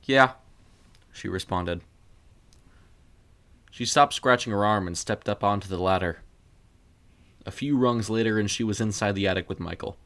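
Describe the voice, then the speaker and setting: A man with a fairly low voice narrates a piece of scripted fiction. He reads as the storyteller of an audio story, setting out the action in prose. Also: normal speed, long pauses, fairly bright tone.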